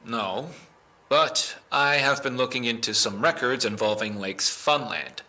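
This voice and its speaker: A man acting as a methodical police interrogator in an audio drama. His mid-pitched voice has a bright, forceful edge. He speaks formally and deliberately, firm and official. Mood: probing.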